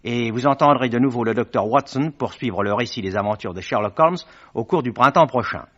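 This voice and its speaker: A man presents in a ceremonious, courteous 1950s radio manner. His voice is medium-pitched and the speech is formally scripted. The recording is dull and lo-fi. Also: fairly quick, a bit bright.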